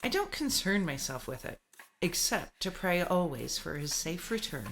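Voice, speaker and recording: A woman's voice: on the low side, big pitch swings, normal speed, a bit bright, slight background noise. A woman acting in a radio play as a stern, resigned, dutiful mother who lectures in formal speech. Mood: evasive, pious. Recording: music bed under the voice. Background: prominent music.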